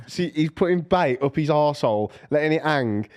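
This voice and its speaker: A man's voice: fairly high pitch, lively intonation, a little fast, darkish tone, smooth. A young man, a podcast co-host who laughs through his stories and insists on them. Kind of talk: casual banter among friends.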